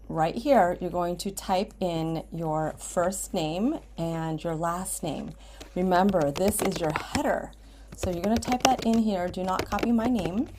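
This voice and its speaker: A woman's voice: fairly low pitch, darkish tone, slight background noise. An American woman teaching a step-by-step computer lesson for students, using simple instructional phrasing and often checking in with an okay. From Washington State, United States. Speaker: ESOL writing teacher. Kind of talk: step-by-step screen tutorial for students. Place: indoors at a computer, screen recording with webcam.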